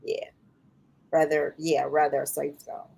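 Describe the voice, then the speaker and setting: A woman co-hosting a podcast, talking in a thoughtful, halting way with many restarts. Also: deep voice, level tone, vocal fry, mellow, breathy edge. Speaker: podcast co-host. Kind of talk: casual chat.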